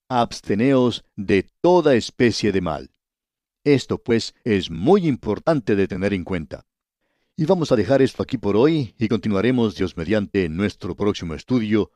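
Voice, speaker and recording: A man giving a radio Bible study in a measured, didactic way, addressing the listener directly as a teacher. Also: fairly low pitch, darkish tone, dry recording.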